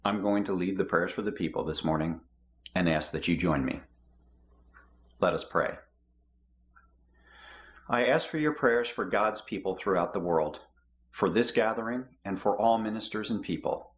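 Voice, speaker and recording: A middle-aged man reading the prayers of the people in a measured, steady way from his home office. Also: sits low. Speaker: lay prayer leader.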